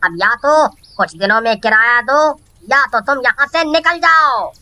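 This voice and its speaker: A woman's voice: on the high side, animated, a little slow, sharp tone. A woman voicing a stern, impatient male landlord in a children's cartoon story, curt and scolding.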